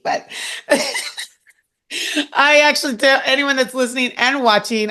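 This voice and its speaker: A woman hosting a podcast, introducing her guest in a bubbly, laughing, animated way with a husky voice. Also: on the high side. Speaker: podcast host. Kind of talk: energetic interview intro.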